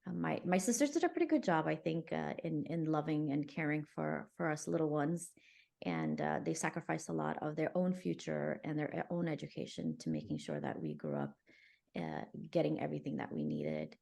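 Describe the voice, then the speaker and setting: A woman in her forties, from Afghanistan and raised in New York City, telling her life story as a podcast guest in a warm, reflective way over a video call. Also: sits low, animated, fairly quick, creaky. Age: 40s. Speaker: guest contributor. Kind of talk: conversational personal story.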